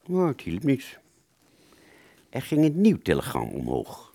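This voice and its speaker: A man's voice: mid-range voice, wildly swooping pitch, measured pace, creaky, warm tone, dry recording. A man narrating a first-person story for an audio play, hesitant and conversational, drawing out words and suddenly stressing others.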